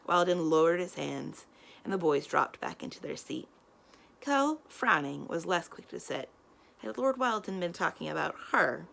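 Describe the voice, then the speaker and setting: Low-voiced woman reading a children's story aloud. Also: normal speed, gravelly. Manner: expressive reading with acted character dialogue.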